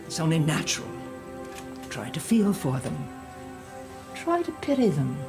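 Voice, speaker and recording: A woman voicing a stern female instructor who lectures trainees slowly and laughs softly between lines. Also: sits low, huge pitch swings, full character voice. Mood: preachy. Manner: slow, sermonizing, with soft laughs. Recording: music playing beneath.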